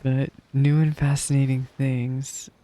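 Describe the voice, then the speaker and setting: A man's voice: medium pitch, flat delivery, slow, dark tone, poor audio. A man giving casual reaction commentary as he streams a video game.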